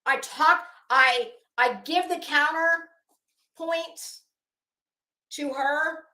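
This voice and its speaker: A woman's voice: high-pitched, fairly even intonation, drawn-out words, bright, forceful tone, reverberant, rough recording. A woman giving opinionated, casual live commentary as a host, blunt, combative and emphatic, with free swearing.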